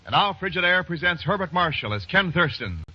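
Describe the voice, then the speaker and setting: A man's voice: high voice, sing-song, fairly quick. A man announcing and narrating a 1940s radio drama in a polished, dramatic scripted style. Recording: muffled old radio recording, loud music.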